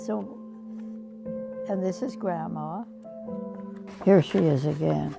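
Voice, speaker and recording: A woman's voice: lowish voice, takes it slowly, gravelly, loud music behind the voice. A woman in her late eighties reminiscing in conversation, plain-spoken and emphatic. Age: late 80s. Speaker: great-aunt sharing family testimony.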